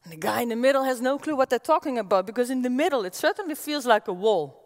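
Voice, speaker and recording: A woman's voice: fairly high pitch, fast. Dutch woman giving a keynote in a hall. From Netherlands. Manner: energetic, gestures as she walks the stage. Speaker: keynote speaker. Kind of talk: conference keynote talk. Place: large auditorium stage with audience. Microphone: headset mic. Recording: long reverb of a large hall.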